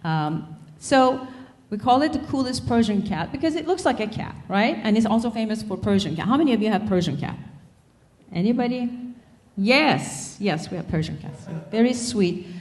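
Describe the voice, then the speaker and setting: A middle-aged woman from Iran speaks at a college peace conference, pausing often on filler sounds. She keeps a friendly, joking tone with the audience. Her voice comes through a handheld microphone in a hall. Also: mid-range voice, expressive, a little mellow. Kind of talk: public talk with slides.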